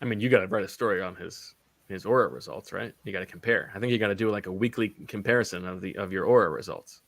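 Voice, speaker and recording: A man's voice: low voice, fast talker, creaky, a bit bright, no echo. A man co-hosting a sports podcast in casual chat, joking and teasing his partner.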